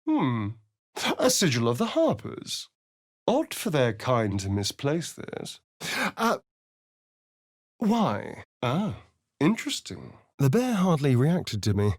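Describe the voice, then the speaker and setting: A scheming, sardonic male vampire rogue from a video game. His delivery is arch and theatrical, swinging widely in pitch while taking its time over each phrase. The sound is dry and close, with a vain, sarcastic edge. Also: wildly swooping pitch, takes it slowly, no echo, exaggerated voice. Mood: intrigued, sly. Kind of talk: video game character voice lines.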